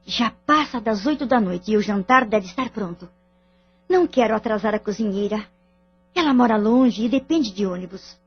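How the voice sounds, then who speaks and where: A woman's voice: mid-range voice, moderate pace, muffled recording, a little hiss or hum, lightly acted. An actress with a Brazilian accent playing a wronged, firm wife and mother in an audio drama. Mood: reassuring.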